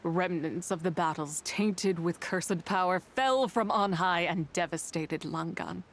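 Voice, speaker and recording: A woman voicing a sorrowful, wrathful spirit in a fantasy video game, speaking in a solemn, archaic and measured way. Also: on the low side, a little hiss or hum. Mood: anguished sorrow. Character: sorrowful, wrathful female spirit remnant finding peace at last. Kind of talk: dramatic video game voice acting.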